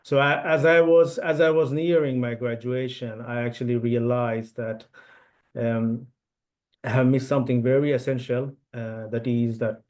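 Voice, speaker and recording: A man's voice: mid-range voice, darkish tone. A man from India, a native Telugu speaker, giving an online webinar talk through a computer mic, with many fillers and restarts. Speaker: event speaker. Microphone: computer mic on video call.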